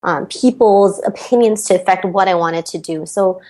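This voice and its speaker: A woman's voice: mid-range voice, moderate pace, warm tone. A woman with an American accent, a performance coach, giving reflective interview answers with frequent ums.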